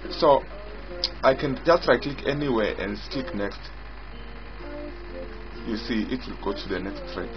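A man giving an unscripted software walkthrough as its developer, explaining haltingly and repetitively in short phrases. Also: medium pitch. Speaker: software developer demonstrating own app. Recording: dull lo-fi audio with loud music. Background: loud music.

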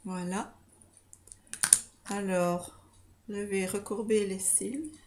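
Woman, 40s, slightly congested; soft, slow, hesitant makeup-tutorial host on a close webcam in a small room. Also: medium pitch, steady tone, noisy background. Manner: soft, hesitant, talks while applying makeup. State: slightly stuffy nose from a cold or allergy.

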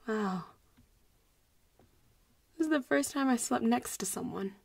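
A young woman voicing a playful, protective popular vampire girl in an intimate audio roleplay, breathy and often dropping to a whisper. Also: fairly high pitch, lively intonation, measured pace, no room sound, exaggerated voice. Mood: soft, hesitant. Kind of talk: audio roleplay voice acting, addressing the listener.

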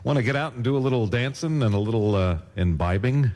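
Lively male oldies radio DJ. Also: mid-range voice, fast talker. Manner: jokey, folksy, conversational. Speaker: radio DJ and host. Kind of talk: upbeat oldies radio patter. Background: music.

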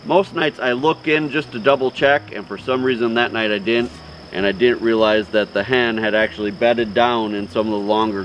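A man's voice: fairly low pitch, fairly bright tone, poor audio. Guy in his 40s doing a casual homestead vlog, outside, bit of nature noise. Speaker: homesteader and farm vlog host. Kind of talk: casual farm update to camera. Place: outdoors on a farm.

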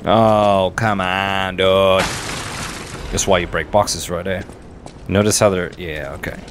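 Low-voiced man doing casual gaming commentary. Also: fairly even intonation, slow pace, noisy background. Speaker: let's play host. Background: video game sound.

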